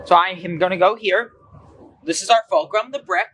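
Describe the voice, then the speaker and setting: A man's voice: high-pitched, normal speed, pushed and bright, slightly rough. A young man reading aloud and explaining simple science for children as a host.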